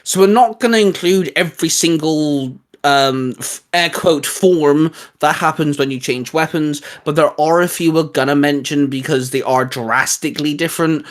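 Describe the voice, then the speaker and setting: A man's voice: fairly high pitch, moderate pace, hardly any pauses. A man co-hosting a casual, geeky chat, talking in a rambling, enthusiastic and hesitant way. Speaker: host. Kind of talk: casual geeky chat rating video game forms.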